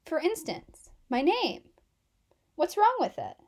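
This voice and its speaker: A woman's voice: very high-pitched, huge pitch swings, slow pace, darkish tone, voice pushed up, full character voice. A woman voicing a miserable, put-upon male schoolteacher in a children's storybook, weary and reproachful. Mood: patient correcting. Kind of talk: children's storybook read-aloud.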